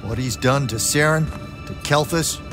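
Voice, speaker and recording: A man's voice: medium pitch, moderate pace, natural voice. A man playing a cranky, folksy older recluse in a video game, grumbling with a drawling manner. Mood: grave. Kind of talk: video game cutscene acting. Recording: very loud music over the voice.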